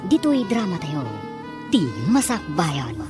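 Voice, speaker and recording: A woman radio drama announcer reads with a lively, dramatic swing in her voice. Her pitch sits low, and music plays clearly behind her scripted narration. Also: takes it slowly.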